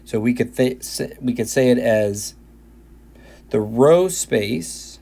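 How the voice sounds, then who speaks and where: A man with a middle-pitched voice that has worn thin and hoarse from recording lecture after lecture. He walks through a math lesson patiently, often restarting and repeating his phrases. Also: lively intonation, takes it slowly, warm tone. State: voice tired from recording many videos. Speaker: math teacher.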